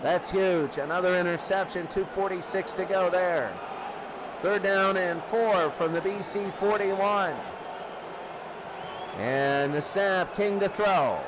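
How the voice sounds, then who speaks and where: A man calling football play by play in short, clipped, excitable phrases. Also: high-pitched, slow pace. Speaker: play-by-play sports commentator. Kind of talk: live American football commentary. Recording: noisy crowd bed behind commentary.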